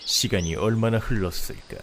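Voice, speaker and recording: A man's voice: fairly low pitch, big pitch swings, moderate pace, gravelly, fairly bright tone, slight background noise. A man narrating a comedic fairy tale from a script as a storyteller. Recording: outdoor nature ambience, birdsong behind.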